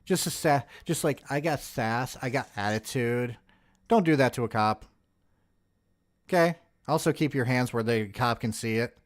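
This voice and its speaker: A man's voice: mid-range voice, moderate pace. A man in his forties reacting to a video with casual, profane, mocking commentary and joking asides. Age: 40s. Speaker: video host reacting to footage.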